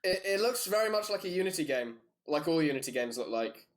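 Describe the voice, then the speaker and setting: A man's voice: a little high, sing-song, fairly quick. A man co-hosting a podcast, talking casually about games in a group chat. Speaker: podcast co-host.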